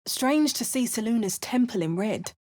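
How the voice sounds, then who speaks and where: A woman playing a wry, determined adventurer in a fantasy video game, speaking in a measured, theatrical way. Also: on the high side, animated, darkish tone, dry recording, studio quality. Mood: wry, resolute. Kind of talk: video game voice lines, dramatic narration and incantations.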